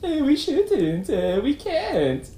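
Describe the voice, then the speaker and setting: A man's voice: very high voice, wildly swooping pitch, takes it slowly, dark tone, heavily acted. A man playing a defensive, emotional, melodramatic suspect in a puppet show murder mystery. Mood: distraught. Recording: roomy echo with faint background noise.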